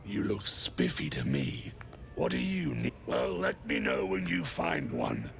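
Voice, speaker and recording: A man voicing a brutish goblin thug in a video game, with a growling, rough and slangy delivery. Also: extremely low pitch, wildly swooping pitch, a little slow, a little hiss or hum, heavily acted. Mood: gruff, dismissive. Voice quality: gravelly, growling. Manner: rough, slangy, swinging into barks. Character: brutish gravel-voiced goblin thug loyal to a cult. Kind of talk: video game character acting.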